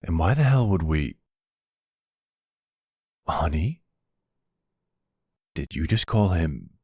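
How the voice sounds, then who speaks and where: A man playing a middle-aged mafia boss and devoted stepfather in an intimate roleplay audio drama, with a husky, gravelly voice and an affectionate, wry way of talking. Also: sits low, very animated, slow, darkish tone, no echo, clean studio sound, full character voice. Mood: indignant.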